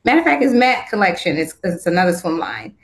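A woman's voice: fairly low pitch, sing-song, fast. Chatty woman in her fifties. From Southern California. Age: 50s. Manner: upbeat, chatty, laughs often, many restarts. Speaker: guest, swimwear designer. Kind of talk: casual interview chat.

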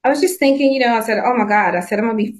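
A woman's voice: on the high side, rapid-fire. A woman in her fifties from Southern California, chatting about her work as a designer in an upbeat way, laughing often and restarting her sentences. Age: 50s. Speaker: guest, swimwear designer. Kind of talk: casual interview chat.

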